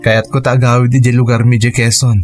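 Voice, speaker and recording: A man playing a kind, devoted suitor and stepfather figure in a radio drama, gentle and sincere. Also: mid-range voice, flat delivery, fast talker, soft background music, lightly acted.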